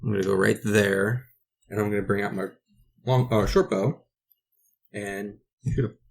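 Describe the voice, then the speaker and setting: Guy player, creaky lowish voice, casually calling his moves in a tabletop game. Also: steady tone, moderate pace.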